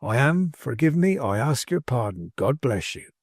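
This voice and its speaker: A man's voice: medium pitch, big pitch swings, normal speed, darkish tone, no echo, clean studio sound. A man voicing a cheery, loyal young working-class manservant in a storybook, with bold, insistent, colloquial and ungrammatical speech. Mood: coaxing, encouraging. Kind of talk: dramatised audiobook character dialogue.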